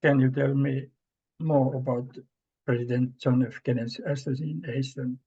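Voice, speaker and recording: A man from Finland hosts a podcast in English, asking short, plainly worded questions. His voice is husky and mellow. The audio is dull and lo-fi, like a call recording. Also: medium pitch, steady tone, moderate pace. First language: Finnish. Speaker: podcast host. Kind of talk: podcast interview.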